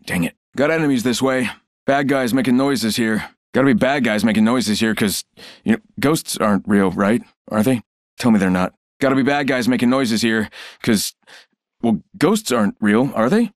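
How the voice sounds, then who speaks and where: An actor playing a wisecracking, insecure show-off who rambles and second-guesses himself in video game voice lines. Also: male voice, mid-range voice, normal speed, dry recording, studio quality, close to an everyday voice. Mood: joking.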